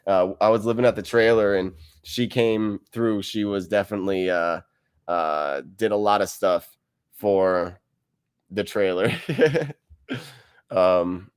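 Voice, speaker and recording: A man's voice: deep voice, takes it slowly, pauses often, clear, resonant tone. A man hosting a casual livestream from a wide room at home, close to a desk mic, rambling and laughing as he reads viewer comments. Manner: laid-back, rambling, laughs often. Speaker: livestream host.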